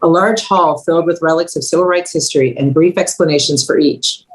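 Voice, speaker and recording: A woman's voice: deep voice, fairly quick, rough recording. A woman narrating scripted stage directions at a table read.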